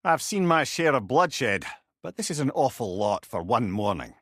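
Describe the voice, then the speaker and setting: An actor with a Scottish accent voicing a wry, eloquent old talking-head companion in a video game. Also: male voice, mid-range voice, very animated, moderate pace, a bit bright, no echo, studio quality. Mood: wry storytelling. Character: witty, talkative old male severed-head companion, wise and wry. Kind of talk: video game character acting.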